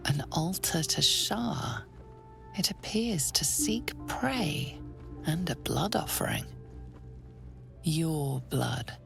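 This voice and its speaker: A hushed, solemn woman narrates a fantasy video game. Her low voice has a creaky edge and moves slowly and knowingly over clear background music. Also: big pitch swings, full character voice. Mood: solemn, ominous. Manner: breathy, deliberate, whispery. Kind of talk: scripted second-person game narration. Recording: prominent music behind.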